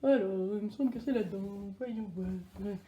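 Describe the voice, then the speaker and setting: A woman playing a childish, excitable, self-pitying character who talks to themselves in slang in a playful children's sketch. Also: medium pitch, moderate pace, echoey room, highs cut off, slight background noise, natural voice. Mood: busy, curious. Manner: exaggerated, slangy, talking to self.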